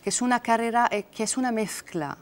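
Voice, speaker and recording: A woman's voice: fairly high pitch, a little fast. A woman in her thirties from France with a French accent, a TV interview guest speaking frankly with hesitations, on a lapel mic in a studio. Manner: frank, lightly amused, with many hesitations.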